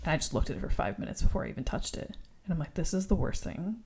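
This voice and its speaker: A woman's voice: low voice, lively intonation, very fast, vocal fry, a little mellow, slight background noise. Earnest rambling young woman podcaster. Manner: earnest, rambling, laughs often, uses "like" a lot. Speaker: podcast co-host. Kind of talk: candid faith-based podcast chat.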